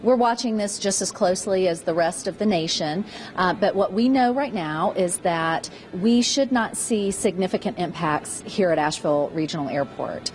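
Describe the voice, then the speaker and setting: A woman speaking as a public relations spokesperson, giving measured, careful answers in a live news interview. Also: fairly low pitch, a little mellow. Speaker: airport marketing and PR director. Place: airport, live on location. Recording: faint background noise.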